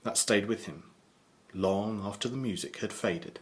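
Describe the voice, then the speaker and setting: A man playing a composed, grave storyteller who narrates a horror audio drama in a measured way. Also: on the low side, a little hiss or hum, close to an everyday voice.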